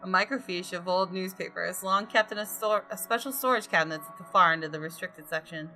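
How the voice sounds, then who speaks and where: A woman reading visual novel narration aloud with deliberate, dramatic storytelling. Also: on the low side, sharp tone, rough recording. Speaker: gaming video host. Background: music.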